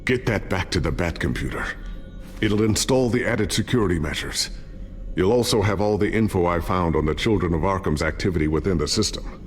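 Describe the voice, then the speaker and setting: An actor playing a loyal, careful inventor and trusted ally in a video game, speaking in a measured, reassuring way. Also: male voice, deep voice, soft background music, natural voice. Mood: helpful, steady. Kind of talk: video game dialogue, explanatory.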